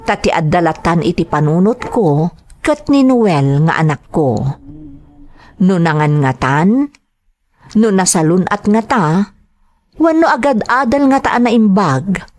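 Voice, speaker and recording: A woman's voice: mid-range voice, darkish tone, lightly acted. A woman as an earnest, devoted mother. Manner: earnest, heartfelt. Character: devoted, self-sacrificing mother recalling hardship abroad. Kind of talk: dramatized first-person narration.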